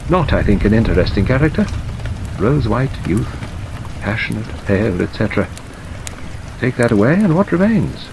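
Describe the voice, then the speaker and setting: An elderly lawyer played by a male audiobook reader declaims with worldly sentiment. His pitch rises and falls widely, with melodramatic sighs and pauses. A faint wash of rain sits over the recording. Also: close to an everyday voice, poor audio. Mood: dry, worldly. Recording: steady rain noise over narration.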